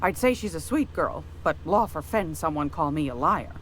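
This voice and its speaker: A woman's voice: sits low, expressive, moderate pace, over a noisy crowd, low-quality recording. An actress playing a folksy, sly older woman in a video game, chatty and homely. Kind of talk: video game character dialogue.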